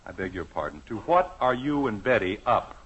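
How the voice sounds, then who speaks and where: Wry exasperated American dad, lively swooping voice, radio comedy, muffled noisy old recording. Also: male voice, on the low side, moderate pace. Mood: wry, suspicious. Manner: dry sarcasm, mounting impatience. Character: exasperated, wry suburban husband and father. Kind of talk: 1950s radio sitcom acting.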